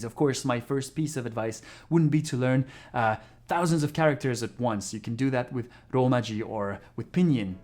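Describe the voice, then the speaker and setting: A man's voice: mid-range voice, darkish tone. A man who is a non-native English speaker giving a casual, frank video lesson on learning a language. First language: not English. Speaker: language teacher YouTuber.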